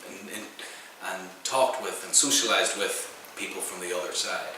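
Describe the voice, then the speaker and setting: A man from Belfast, speaking in a measured, reflective way in a documentary interview. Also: low-pitched, steady tone, background noise. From Northern Ireland. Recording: echoey room, distant mic.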